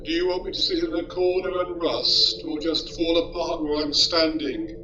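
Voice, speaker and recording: A man's voice: fairly high pitch, flat delivery, normal speed, close to an everyday voice. A man playing a morose, self-pitying robot who complains sullenly in an amateur sci-fi audio comedy. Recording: muffled, noisy, distant and echoey.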